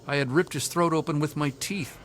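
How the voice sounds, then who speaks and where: A man's voice: on the high side, brisk, close to an everyday voice. An actor playing a troubled, earnest young man haunted by hunger, confiding hesitantly as video game dialogue. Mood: haunted recollection. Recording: music behind the voice.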